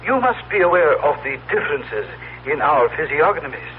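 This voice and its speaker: An actor playing a solemn, mouthless telepathic being from the far future in a 1970s radio drama, with formal, stilted, precise speech. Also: male voice, fairly high pitch, very animated, normal speed. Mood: patient, explaining. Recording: tinny, noisy 1970s radio broadcast.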